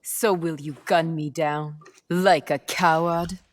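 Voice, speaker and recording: A woman playing a poised, cunning, self-assured game character with a measured, unhurried way of talking. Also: low-pitched, steady tone. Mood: cool, bargaining. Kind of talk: video game cutscene acting.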